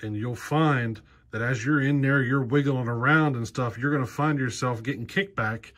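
A man's voice: mid-range voice, normal speed, a bit bright. Plain-spoken man explaining in a workshop. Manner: plain-spoken, practical, with frequent ums and you-knows. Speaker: chainsaw channel host. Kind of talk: casual how-to explanation. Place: cluttered workshop garage, indoors.

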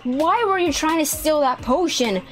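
A young woman hosting a gaming video, narrating and chatting through a roleplay in an exclamatory, animated way. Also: high voice, moderate pace, soft background music. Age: young adult. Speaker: YouTube gaming host.

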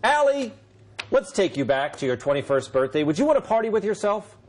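A man's voice: high voice, very animated, a little fast, faint music behind. A middle-aged man hosting a late-night panel show in a TV studio, reading a wry, sarcastic monologue and then leading the banter. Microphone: lapel mic.

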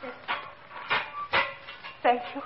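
An actress playing a devoted, anxious young sweetheart in 1940s radio style. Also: female voice, very slow. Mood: pleading, devoted. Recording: dull, hissy 1940s radio recording.

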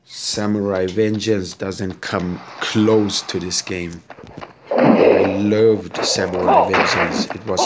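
Low, slow Swedish man commenting on gameplay. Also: level tone, muffled recording. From Sweden. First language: Swedish or Hungarian. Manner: enthusiastic, halting non-native English with many fillers. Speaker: mobile game reviewer. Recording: loud background noise.

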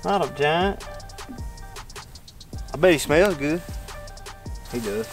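A young man with a drawl, casually rambling about bass fishing from his boat on a lake. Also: expressive, slow pace, faint music behind. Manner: drawling, rambling, talks to the fish. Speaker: fishing channel host. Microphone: camera mounted on the boat.

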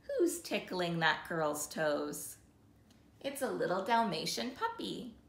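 A woman in her thirties hosting an interactive storytime, reading a picture book expressively and clearly for young children. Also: fairly low pitch, big pitch swings, slow pace. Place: small living room, seated on a sofa. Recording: roomy indoor sound.

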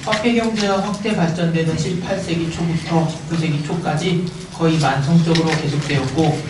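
Guy reading a textbook out loud in class, far away, super echoey, hissy. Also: on the high side. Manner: steady reading, stumbles over words now and then. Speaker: student reading aloud. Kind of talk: reading a text aloud. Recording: very echoey room, far off, with hiss.

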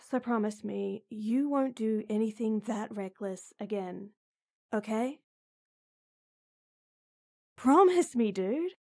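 A woman acting a breathy, husky tomboy best friend. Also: a little high, animated, slow pace, long pauses, heavily acted. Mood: scolding, concerned. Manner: exasperated, sighing, blunt. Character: tomboy girl, gruff but caring best friend. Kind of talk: intimate audio roleplay drama.

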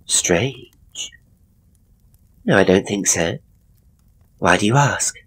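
A man voicing a skittish, anxious woman with a breathy, worried, questioning manner in a horror story. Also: on the low side, animated, slow pace, a bit bright, exaggerated voice. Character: skittish younger woman, anxious sister and secret conspirator.

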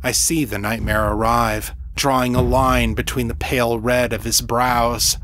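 A man's voice: medium pitch, level tone, measured pace, a bit bright, a little hiss or hum. A man reading a literary short story as a scripted first-person narration.

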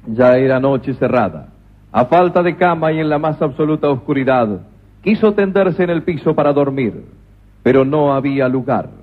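A male narrator telling a comic radio play in a dramatic storyteller manner. His voice is a bit husky and somewhat high. The sound is thin and phone-like. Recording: tinny lo-fi radio recording.

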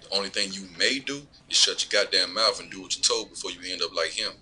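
Deep, stern deputy acted by a man. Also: fast, bright, forceful tone. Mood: hostile, commanding. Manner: commanding, procedural. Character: stern, bullying male sheriff's deputy. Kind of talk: audio drama acting. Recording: noisy vehicle ambience.

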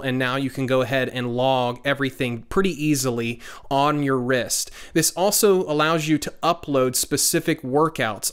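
Man, 30s, tech reviewer, smooth clear voice, mid pitch, steady how-to voice-over with casual fillers, close mic. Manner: explanatory, steady, with frequent "uh" fillers.

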